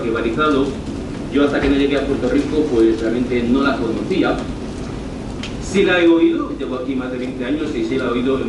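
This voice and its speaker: A middle-aged man with a northern Spanish accent giving an informal university presentation, deliberate, repeating and rephrasing himself. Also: on the high side. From northern Spain. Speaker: university lecturer. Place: university lecture room, recorded from afar. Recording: very echoey room, distant mic, clear noise.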